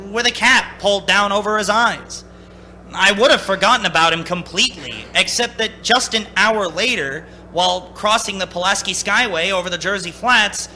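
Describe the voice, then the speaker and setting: A man's voice: sits high, pushed and bright, slight background noise, low-quality recording. An actor playing a haunted man of thirty-six who tells his story in dramatic old-time radio style. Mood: uneasy recollection. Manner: urgent, dramatic first-person narrating.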